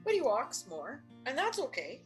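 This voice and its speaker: A woman's voice: high-pitched, wildly swooping pitch, normal speed, soft background music. An older woman playing a firm, practical, exasperated but loving wife in an audio play, matter-of-fact and insistent. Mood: wry. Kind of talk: audio play stage acting.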